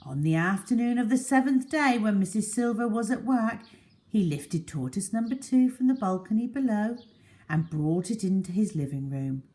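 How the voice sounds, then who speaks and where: A woman reading a children's story aloud as a storyteller, deliberate and dramatic in her delivery. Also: medium pitch, animated, mellow.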